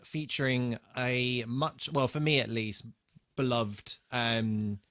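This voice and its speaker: A man co-hosting a podcast in casual banter, halting and correcting himself as he thinks aloud. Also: mid-range voice, fairly even intonation, fairly bright tone. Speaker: podcast host. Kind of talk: casual banter between co-hosts.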